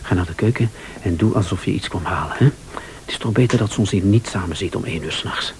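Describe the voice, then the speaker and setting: An actor playing a scheming, impatient, smooth-talking husband in a radio thriller, with a Flemish accent. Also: male voice, low voice, fast talker. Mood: wary, tense. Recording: radio drama with clear tape hiss.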